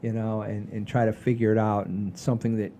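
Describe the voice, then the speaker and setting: An older man hosting a casual podcast, rambling in a folksy, halting way with repeats and the odd mild swear. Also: on the low side, little pitch movement, dark tone, a little hiss or hum. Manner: folksy, mildly profane, halting and repetitive. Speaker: podcast host.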